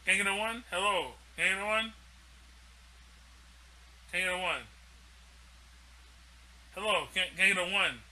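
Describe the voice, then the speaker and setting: A man's voice: high voice, normal speed, pauses often, bright, forceful tone, low-quality recording. A man hosting a live stream, delivering a heated, profane and repetitive ranting monologue.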